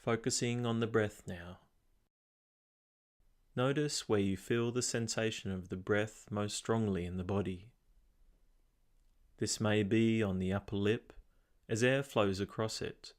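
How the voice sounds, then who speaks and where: A man with a fairly low voice leads a guided meditation. He gives calm, measured instructions and leaves long pauses between phrases.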